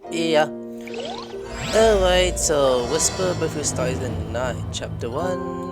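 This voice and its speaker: Female let's player: low register, lively swings, casual sweary commentary and read-alouds, game music behind. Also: takes it slowly. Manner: sweary, expressive. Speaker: video game streamer. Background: video game music.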